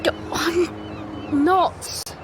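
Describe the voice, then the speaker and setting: A woman's voice: extremely high pitch, fairly even intonation, extremely slow, heavily acted. A woman voicing a bossy, exasperated young older sibling in a video game, insistent and flustered. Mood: flustered, worried. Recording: clear background noise.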